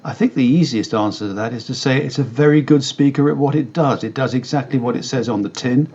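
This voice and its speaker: A British man giving measured, articulate explanations as an expert guest in a conversational interview. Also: medium pitch, darkish tone, slightly rough, muffled recording. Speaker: company director interviewed as guest.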